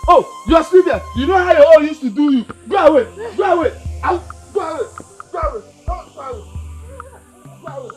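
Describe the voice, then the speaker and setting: An actor playing Udoka, a young man gone mad who mutters to himself and then shouts at his mother. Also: medium pitch, animated, measured pace, long pauses, soft background music, natural voice. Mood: shouting.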